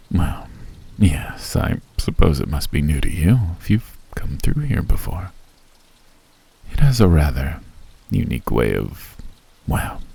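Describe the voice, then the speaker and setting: A man voicing a reclusive, wealthy elf who talks in a genteel, teasing and softly menacing way, in an ASMR roleplay. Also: very deep voice, gravelly, slightly rough, full character voice. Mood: welcoming. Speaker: voice actor.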